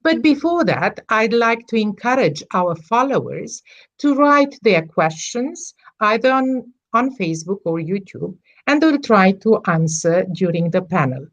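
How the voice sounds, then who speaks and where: A woman moderating an online conference panel who introduces the first speaker in a hesitant way, correcting herself and filling pauses with "uh". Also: medium pitch, big pitch swings, muffled recording. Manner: hesitant, self-correcting, with fillers.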